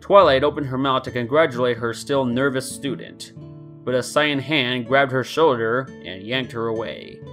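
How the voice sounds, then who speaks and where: A man narrates a story in a scripted reading style. His pitch sits in the middle range, and light guitar music plays behind him. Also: normal speed. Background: soft guitar music.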